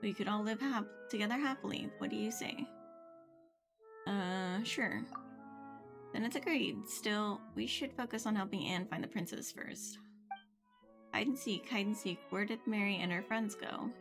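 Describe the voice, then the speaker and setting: A woman is streaming a video game and reading its dialogue aloud. Her delivery is expressive yet casual, slipping into offhand remarks, and her voice sits at an average pitch. Game music plays clearly behind her. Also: normal speed.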